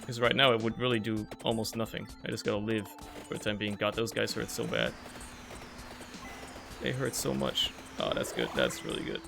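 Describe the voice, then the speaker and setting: A man's voice: lowish voice, lively intonation, moderate pace, vocal fry, music in the background. A young man with German as his first language, streaming a video game and rambling through casual, joking commentary that swears often, recorded at home on a desk microphone.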